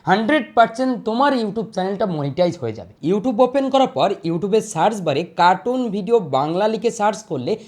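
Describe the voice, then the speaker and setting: Animated tutorial host with swooping pitch. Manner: repeats phrases, checks in with the listener. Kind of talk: step-by-step tutorial voice-over.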